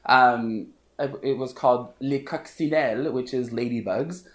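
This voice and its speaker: A man's voice: medium pitch, measured pace. Quirky man in his thirties, slight French Canadian accent, self-mocking and giggly, recorded in an echoey room. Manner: playful, laughs often, self-mocking. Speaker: podcast guest, hairstylist. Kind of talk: casual chat.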